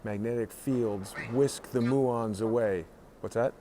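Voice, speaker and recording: A man's voice: mid-range voice, slow, dark tone. A man hosting a casual live tour indoors, commenting and reading signs aloud in an inquisitive way. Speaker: host of a live-streamed tour. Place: indoors, walking around a research facility. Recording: noisy live stream in a public building.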